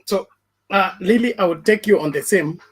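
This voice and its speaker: A man's voice: sits high, moderate pace, soft background music. A man moderating a live discussion, handing out turns to speakers. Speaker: debate moderator.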